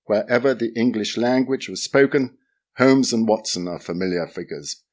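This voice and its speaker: A man giving a measured, expressive reading of a literary memoir essay. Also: lowish voice.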